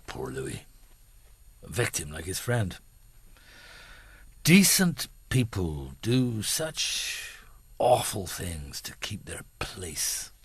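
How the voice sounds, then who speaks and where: A man acting a weary, gruff middle-aged chief inspector in a radio drama, wryly reflective, with a husky voice. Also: low voice, very animated, drawn-out words, long pauses, no room sound, exaggerated voice. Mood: grave, regretful.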